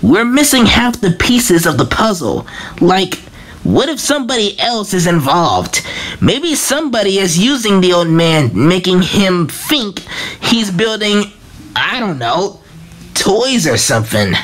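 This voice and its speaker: A woman reading a fan comic dub aloud, voicing every part herself, in a slow, halting way with restarts. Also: medium pitch, sing-song.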